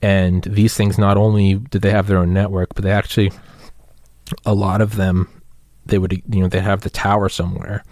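A man with a low-pitched voice delivers a loose solo podcast rant. He rambles conversationally, peppering it with slang and the odd swear word. The sound is dry, with very little room around the voice. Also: level tone, normal speed, darkish tone, no echo. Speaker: podcast host. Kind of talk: casual solo rant monologue.

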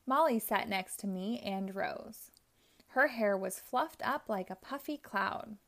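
A woman reads a children's picture book aloud at a slow, unhurried pace. Her pitch sits in a middle range, and her telling is gentle and expressive, made for young listeners. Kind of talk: storybook read-aloud. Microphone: audio only, close mic.

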